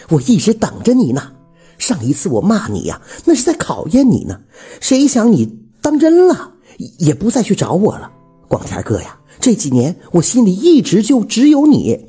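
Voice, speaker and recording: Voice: mid-range voice, warm tone, breathy edge, pitched above the performer's own voice, exaggerated voice. A storyteller voicing a coquettish middle-aged village widow, sweetly coaxing and insistent.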